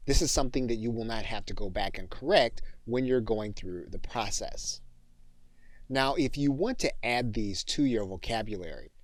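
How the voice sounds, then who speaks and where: A man with a fairly low voice gives step-by-step software instruction. His delivery is measured and instructional, and the sound is dry and close, with little room in it. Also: dry recording. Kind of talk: step-by-step tutorial narration.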